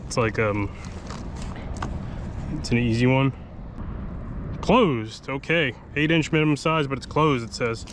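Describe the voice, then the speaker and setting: A man's voice: mid-range voice, measured pace, pauses often. A man giving casual running commentary while fishing from a kayak on open water, thinking aloud about his catch. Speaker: fishing vlogger. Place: kayak on open sea, outdoors. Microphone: action camera mounted on kayak. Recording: outdoor action-cam audio with wind and water noise. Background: wind and water noise.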